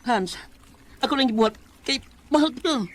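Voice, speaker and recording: Selosong uyab nga bayot, hilakon. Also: male voice, very high voice, normal speed. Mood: pleading. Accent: Cebuano Bisaya. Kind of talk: Bisaya radio drama acting.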